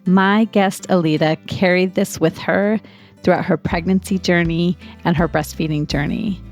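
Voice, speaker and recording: Podcast host, woman, lowish voice, steady measured read. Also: soft background music. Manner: steady, measured read with slow deliberate emphasis. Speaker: podcast host and lactation consultant. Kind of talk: scripted intro and interview hosting.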